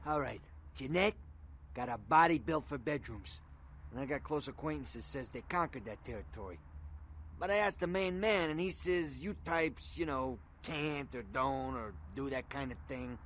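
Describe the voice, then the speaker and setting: An actor playing a streetwise, world-weary criminal informant in a video game, talking tough and slangy in a conversational way. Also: male voice, mid-range voice, expressive, normal speed, a bit bright, a little hiss or hum, close to an everyday voice. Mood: confiding, wary.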